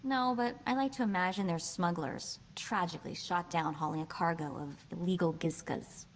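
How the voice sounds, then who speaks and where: Woman playing a wry, street-smart young game heroine, lowish voice. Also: normal speed, slight background noise, close to an everyday voice. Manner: wry, breezy, clipped slangy talk. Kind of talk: video game voice acting.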